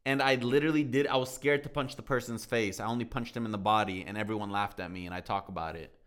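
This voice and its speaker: A man's voice: fairly low pitch, fairly even intonation, quick pace, a bit bright. A man in his thirties hosting a podcast, telling stories earnestly with a motivational bent. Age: 30s. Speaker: podcast host. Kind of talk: casual podcast chat.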